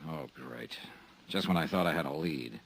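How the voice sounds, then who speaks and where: An actor playing a wry, tough American insurance investigator in an old time radio drama, talking in casual, sardonic slang. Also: male voice, extremely low pitch, normal speed, creaky, a little hiss or hum, poor audio.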